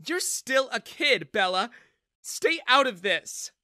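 A man's voice: sits high, sing-song, normal speed, pushed and bright, dry recording, studio quality, voice pushed up, exaggerated voice. A man voicing an emotional, possessive middle-aged mother in a storybook narration. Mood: firm.

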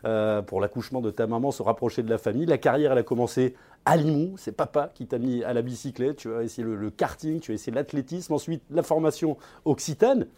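A man's voice: mid-range voice, quick pace, mellow. A man hosting an interview, introducing his guest and prompting him with chatty questions. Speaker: host.

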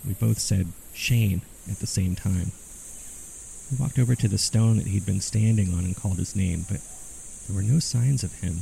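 A man hosting a story podcast, reading scripted mystery case files in a measured, serious storytelling manner. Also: lowish voice, gravelly, warm tone, slight background noise, low-quality recording. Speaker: podcast host and narrator.

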